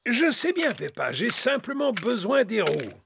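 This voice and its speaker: A man's voice: very high-pitched, huge pitch swings, unhurried, gravelly, background noise, exaggerated voice. An actor playing a kindly, hearty old grandfather pig in a French children's cartoon, explaining and reassuring. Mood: hearty, busy.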